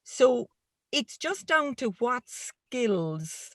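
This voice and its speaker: A woman's voice: on the high side, sing-song, drawn-out words, dry recording. Rural irish woman on a panel, earnest and emphatic, dry close sound. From Ireland. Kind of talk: panel discussion.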